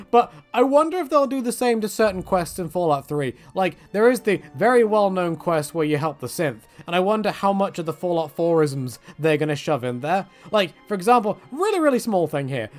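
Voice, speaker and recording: A man giving casual, opinionated video game commentary in a rambling, joking, self-deprecating and slangy way. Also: fairly high pitch. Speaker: gaming video creator.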